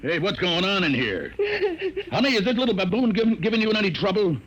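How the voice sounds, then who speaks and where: An actor playing a brash, bullying, smugly jovial go-getter in a 1950s radio drama, blustery and hearty. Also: male voice, high-pitched, big pitch swings, brisk, fairly bright tone, highs cut off, heavily acted. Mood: threatening. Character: brash, bullying, jovially smug male go-getter rival lawyer. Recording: noisy 1950s radio recording.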